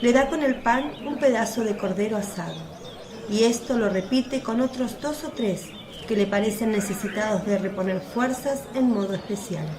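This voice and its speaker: A woman with a fairly high voice narrates a scripted religious radio drama. She tells the story as narrator, with a clear murmur of a crowd behind her. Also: moderate pace.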